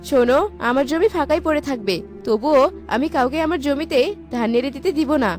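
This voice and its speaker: A woman voicing a haughty, sharp-tongued young village wife in a cartoon, scolding and bossy. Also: sits high, brisk, natural voice. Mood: angry, scolding. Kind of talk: cartoon voice acting. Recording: music behind the voice.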